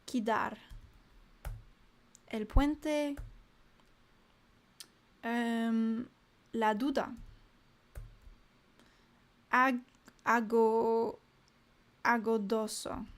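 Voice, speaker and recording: A young woman with a fairly high voice works through flashcards at an unhurried pace, saying each word aloud. She mutters little asides to herself between cards. When she turns to her live-stream viewers, she sounds cheerful and chatty. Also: fairly even intonation. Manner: reads vocabulary aloud in short bursts, muttering asides to herself. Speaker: language learner streaming live. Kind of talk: casual live-stream study session.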